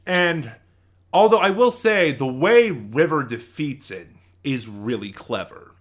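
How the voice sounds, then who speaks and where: A man giving an unscripted, opinionated review to his viewers as an online reviewer, talking emphatically with halting stops and repeated phrases. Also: on the high side, lively intonation. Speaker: YouTube audio drama reviewer.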